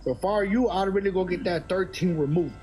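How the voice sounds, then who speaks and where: A man's voice: high voice, fairly quick. A man giving a blunt, insistent street-slang lecture, repeating himself. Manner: insistent, repetitive.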